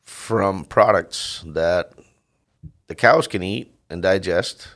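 Low gravelly Dutch-accented man. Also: a bit bright. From Netherlands. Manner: halting, many fillers and restarts. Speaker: dairy farmer, guest. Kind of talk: casual interview answers.

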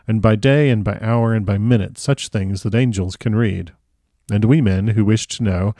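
A man's voice: on the low side, darkish tone, no room sound, studio quality, lightly acted. A man voicing an earnest old professor in a storybook reading, with formal, effusive, broken foreign phrasing. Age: older.

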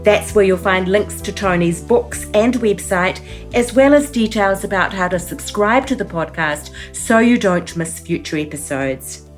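A woman's voice: mid-range voice, measured pace. Woman podcast host, faint music behind. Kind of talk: scripted intro and interview questions.

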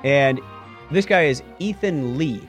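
A man's voice: mid-range voice, slow pace, soft background music. A man co-hosting a casual tech podcast, rambling and correcting himself as he talks. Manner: rambling, self-correcting, lively. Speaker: podcast co-host.

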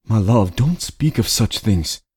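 A man's voice: fairly low pitch, animated, measured pace, a little mellow, husky. A man voicing a loving young husband who speaks tenderly and reassuringly to his wife.

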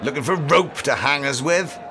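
A man's voice: on the high side, normal speed, crowd noise, background noise, voice pushed up, close to an everyday voice. A man voicing a ratty, feral pub thug, hostile, sneering and coarse, in an audio drama. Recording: loud music under the voice.

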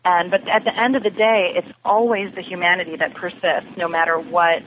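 A woman's voice: on the low side, flat delivery, a little fast. Woman on a noisy, lo-fi call. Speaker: podcast guest. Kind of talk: discussion of TV science fiction. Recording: lo-fi, noisy phone or internet call.

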